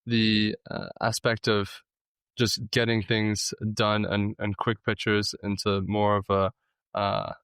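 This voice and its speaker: A young man, a student, speaking in a flat, even tone with a clear voice. He talks casually and hesitantly, with lots of fillers. The sound is dry and close. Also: on the low side, takes it slowly, dry recording. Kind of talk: interview answers.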